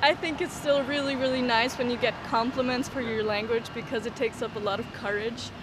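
A woman's voice: a little high, steady tone, fairly quick. Chatty young woman outdoors by traffic. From Austria. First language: German. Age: 20s. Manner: chatty, laughs easily, fillers like "like". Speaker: interviewee. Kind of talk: casual street interview. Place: city sidewalk outdoors by a road. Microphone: handheld mic held by interviewer. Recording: outdoor street noise with traffic.

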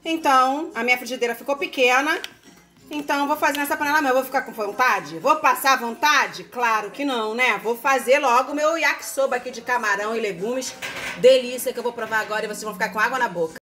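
A woman's voice: on the high side, fast talker, pushed and bright. Chatty woman cooking at home. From Brazil. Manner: chatty, talks straight to viewers. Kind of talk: casual cooking vlog. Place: home kitchen, indoors. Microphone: phone held close. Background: food sizzling in a pan, music.

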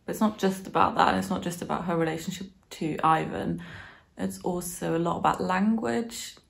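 A woman's voice: fairly low pitch, a little fast, vocal fry. A bilingual German and English speaking woman in her twenties, chatting casually and thoughtfully about books to camera. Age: 20s. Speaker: book vlogger. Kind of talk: casual chat to camera, book review. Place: small living room, on a sofa indoors.

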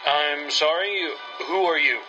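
Man as a bright-voiced, put-upon city gentleman. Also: a little high, slow pace, muffled recording, soft background music, full character voice. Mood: polite puzzlement. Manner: polite, formal, put-upon. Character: fussy, weary city gentleman stranded in town. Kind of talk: old-time radio drama acting.

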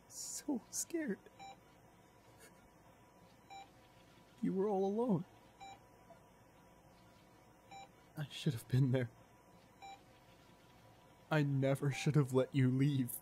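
A man playing a distraught, tender young boyfriend in an intimate audio roleplay, speaking in halting, broken phrases. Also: a little high, mellow, slight background noise. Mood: anguished, tearful regret.

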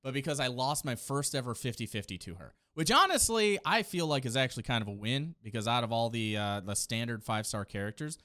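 A man's voice: mid-range voice, lively intonation, brisk, hardly any pauses, sharp tone. A man in his thirties giving enthusiastic, slangy reaction commentary in a casual style. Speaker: video host reacting to game trailers. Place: small indoor room, streaming setup. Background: game trailer music.

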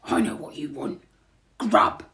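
A woman voicing a gruff but kindly male burglar from a children's storybook, with colloquial, ungrammatical speech. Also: slow pace, fairly bright tone.

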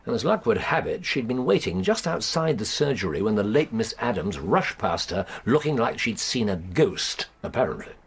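An actor with an English accent playing a precise, dry-witted, commanding gentleman detective in a radio drama. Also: lowish voice, sing-song, moderate pace, hardly any pauses, husky, exaggerated voice. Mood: dry, incisive.

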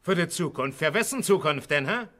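An actor playing a stingy, domineering husband with a Berlin accent in a radio play, blustering and sarcastic. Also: male voice, fairly high pitch, lively intonation, brisk, pushed and bright. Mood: indignant, sarcastic. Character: stingy, gruff husband refusing insurance, domineering. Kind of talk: 1960s radio play acting.

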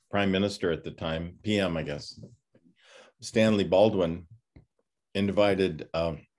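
An older man in his sixties, a pastor giving an informal class talk over a video call, reflective and halting with many pauses. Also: sits low, darkish tone. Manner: halting, reflective, often pausing. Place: home study with bookshelves, on a video call. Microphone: computer microphone on a video call.

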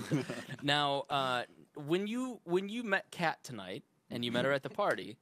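A man's voice: on the high side, sing-song, measured pace, fairly bright tone. A man hosting a casual podcast from a studio, teasing his guests and laughing often as he asks questions. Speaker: podcast host.

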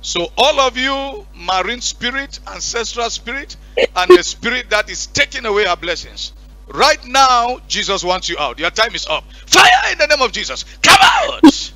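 A man preaching on a church stage into a headset mic, his voice bright and forceful, firing commands with heavy emphasis. Faint music sits under his words. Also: very high-pitched, huge pitch swings, normal speed, low-quality recording. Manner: commanding, shouting at spirits. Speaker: pastor. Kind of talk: deliverance prayer and counseling. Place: church stage with curtain backdrop.

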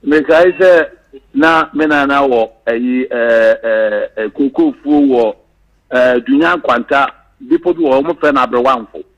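A man calling in to a radio show, talking in a halting way with many fillers. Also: medium pitch, muffled recording. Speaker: caller.

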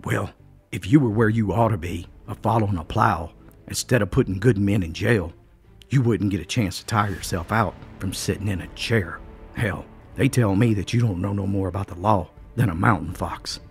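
Burly, hard-drinking backwoods farmer with a low, slow, grumbling drawl, as a man puts him on. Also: creaky, breathy edge, faint music behind, heavily acted. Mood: scornful. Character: burly, gruff, drunk male mountain farmer.

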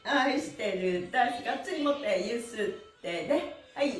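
A woman's voice: fairly high pitch, lively intonation, moderate pace. A middle-aged woman teaching an exercise lesson in a cheery, encouraging, sing-song manner. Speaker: stretching instructor. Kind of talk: online exercise lesson, counting along with chanted phrases. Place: small indoor room with bare wall. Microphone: camera mic from a few meters. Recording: roomy echo, distant microphone, music behind.

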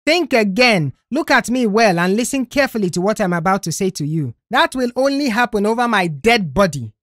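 A woman's voice: mid-range voice, lively intonation, fairly quick, no echo, clean studio sound, pitched above the performer's own voice, close to an everyday voice. A woman voicing an indignant, defiant middle-aged mother in an audio story, confrontational and dramatic. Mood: furious, accusing.

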